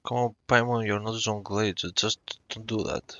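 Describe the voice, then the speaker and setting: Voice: mid-range voice, level tone, normal speed, fairly bright tone, no room sound, lo-fi sound. A gamer giving casual commentary and reactions while playing through a story quest.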